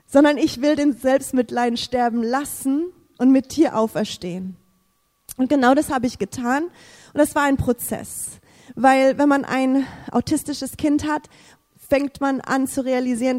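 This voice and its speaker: Woman, English native, fervent testimony, high voice, echoing hall. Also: darkish tone. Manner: fervent, repetitive, searching for words. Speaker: speaker giving a testimony. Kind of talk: emotional faith testimony.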